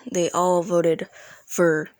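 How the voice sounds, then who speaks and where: A woman's voice: lowish voice, little pitch movement, drawn-out words, a little mellow, no room sound. A woman telling a casual, rambling storytime in informal, youthful slang. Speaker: storytelling video creator. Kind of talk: casual storytime about an online roleplay game.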